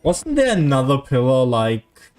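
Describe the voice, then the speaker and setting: A man's voice: medium pitch, big pitch swings, a little slow, a little mellow, soft background music. A man casually streaming a video game, musing aloud as he comments to his chat. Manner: musing aloud, hums to self.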